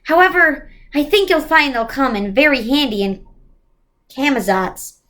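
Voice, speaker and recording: A woman reading a children's novel aloud as expressive storybook narration. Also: high voice, measured pace.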